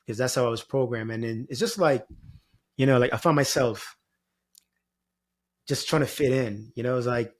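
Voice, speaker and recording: A man's voice: mid-range voice, normal speed, pauses often. A man from Jamaica, talking reflectively as an interview guest in a meandering, informal way peppered with "you know" and "like". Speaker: spiritual guide, podcast guest.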